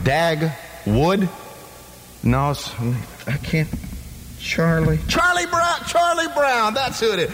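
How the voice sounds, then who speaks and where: A man's voice: fairly high pitch, fairly bright tone, a little hiss or hum. A male radio disc jockey rambling on air, stumbling and scatterbrained with lots of fillers.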